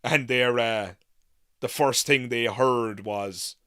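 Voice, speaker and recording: A man's voice: medium pitch, takes it slowly, fairly bright tone, smooth. A man in his thirties hosting a casual live chat, musing in a rambling way and repeating phrases for emphasis. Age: 30s. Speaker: livestream podcast host.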